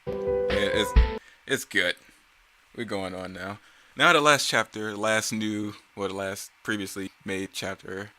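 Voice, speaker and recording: A man's voice: fairly low pitch, steady tone, measured pace, pushed and bright, faint music behind. A man giving casual, off-the-cuff creator commentary in a rambling, self-correcting way. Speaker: creator giving commentary on own motion comic series.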